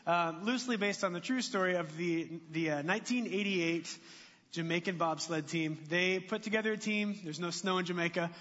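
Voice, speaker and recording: A man preaching a sermon in a relaxed, conversational way, joking and asking the congregation questions. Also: high voice. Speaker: pastor. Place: church sanctuary. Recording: muffled reverberant sanctuary recording.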